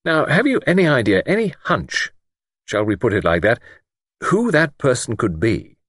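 A man voicing a wry, gently persistent gentleman investigator in an audiobook, asking patient, probing and direct questions. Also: medium pitch, very animated, moderate pace, dry recording, clean studio sound, pitched above the performer's own voice. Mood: decisive, probing. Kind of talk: audiobook character dialogue.

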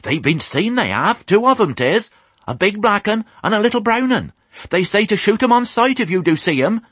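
A fussing farm wife. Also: male voice, high voice, big pitch swings, normal speed, fairly bright tone, husky, no room sound, pitched above the performer's own voice, exaggerated voice. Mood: worried. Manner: broad rural dialect, breathless busy chatter. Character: fussing country farmer's wife, middle-aged, chatty.

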